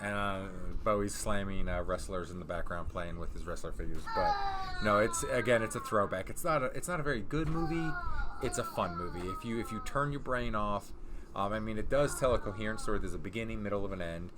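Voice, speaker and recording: A man's voice: fairly low pitch, brisk, heavy background noise. A man hosting a casual, unscripted countdown video at home, rambling and correcting himself as he goes. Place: room at home.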